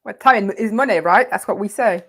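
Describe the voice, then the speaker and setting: A woman from France with a French accent, a coach speaking as an interview guest, animated and often laughing as she talks. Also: medium pitch, normal speed. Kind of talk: conversational interview.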